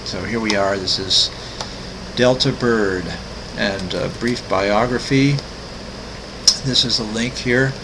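Gravelly older man rambling through his art. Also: fairly low pitch, slow, background noise. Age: 60s. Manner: rambling, many ums and restarts. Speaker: artist walking through his website. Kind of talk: casual screen-recorded walkthrough.

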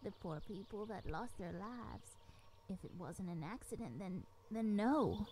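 An actress playing a kindly, wistful elderly retirement home resident in an audio drama, gentle and genteel. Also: female voice, mid-range voice, lively intonation, a little slow. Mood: compassionate. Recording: faint noise, birdsong behind.

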